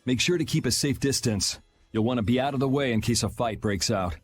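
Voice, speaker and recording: A man's voice: medium pitch, a little fast, lightly acted. A man voicing a cool, protective young swordsman in a video game, casual and matter-of-fact. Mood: dry, confident. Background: background music.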